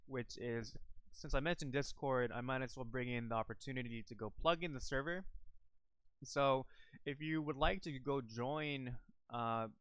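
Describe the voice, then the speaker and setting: A man's voice: mid-range voice, fairly bright tone, clean tone, no echo. A young man from the Philippines chatting casually on a live stream from home, rambling with lots of fillers and restarts into a desk microphone. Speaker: YouTuber streaming about an online game.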